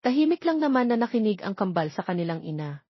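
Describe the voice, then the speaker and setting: A woman's voice: medium pitch, very animated, fast, slightly rough, dry recording, highs cut off. A woman narrating a scripted romance story as an audiobook reader.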